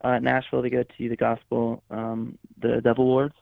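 Gravelly-voiced man on a tinny line. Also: mid-range voice, completely flat delivery, moderate pace, dry recording. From Florida, USA. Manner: rambling, self-correcting, laughs easily. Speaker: guest songwriter and producer. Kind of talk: casual interview chat. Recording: dry, phone-like line.

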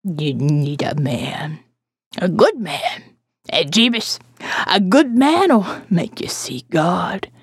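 A woman voicing a croaking, preachy woman of sixty in audio fiction, scolding in folksy rustic speech. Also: on the low side, big pitch swings, slow, dry recording, studio quality, pitched below the performer's own voice, exaggerated voice. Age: 60s. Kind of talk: audio fiction character acting.

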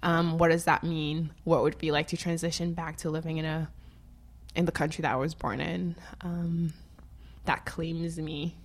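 Woman, from Haiti, interviewee, casual rambling talk, frequent laughter, somewhat low voice. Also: level tone, normal speed. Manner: rambling, laughs often, many fillers.

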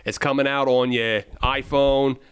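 A man of middle pitch chatting loosely on a beer podcast. He jokes, laughs a lot and trails off into fillers. His voice sits close and dry, with no room sound. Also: level tone, unhurried, fairly bright tone, clean tone, dry recording. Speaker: podcast co-host. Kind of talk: casual chat.

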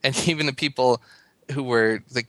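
Bright, dry-voiced American man. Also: medium pitch, moderate pace, no echo, poor audio. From United States. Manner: rambling, full of fillers. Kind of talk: casual podcast chat.

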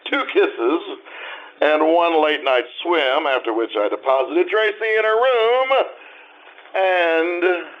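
A man playing an earnest, awkward gentleman suitor with halting, repetitive speech in an old-time radio play. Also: fairly high pitch, animated, lo-fi sound. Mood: awkward, matter-of-fact. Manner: halting, repeats words.

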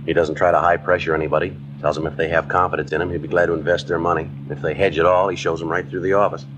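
An actor playing a terse, matter-of-fact police detective in a 1950s radio drama, with flat, deadpan delivery. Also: male voice, low-pitched, rapid-fire, lo-fi sound, noisy background, natural voice. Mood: businesslike. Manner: deadpan, businesslike. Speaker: narrator and lead actor of a police series. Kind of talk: 1950s radio drama acting, scripted narration. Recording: 1950s radio recording with music.

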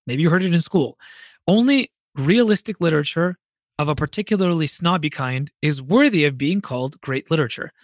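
Guy doing a solo podcast essay, slightly high voice, bookish and opinionated, pretty dry close sound. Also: no echo. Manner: literate, opinionated, emphatic with deliberate pauses. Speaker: podcast host.

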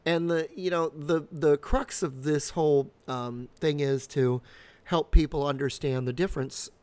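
A man in his fifties co-hosting a podcast, explaining things casually in a measured, halting way. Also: on the high side, fairly even intonation, a little mellow, clear, resonant tone. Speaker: podcast co-host.

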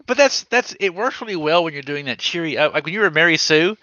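A man's voice: a little high, lively intonation, fast, bright, forceful tone, dry recording, lo-fi sound. A man co-hosting a podcast, rambling and joking as he gives advice in casual chat. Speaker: podcast co-host.

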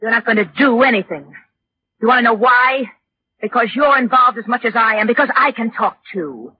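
An actress playing a defiant, scheming unfaithful wife in a 1940s radio drama. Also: female voice, fairly high pitch, fairly quick, lightly acted. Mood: cold, defiant. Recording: muffled, noisy vintage radio broadcast.

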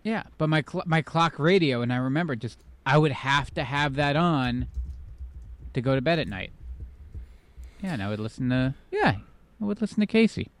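A middle-aged man co-hosting a casual podcast chat, reminiscing with halting starts. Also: a little high, expressive. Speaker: podcast co-host.

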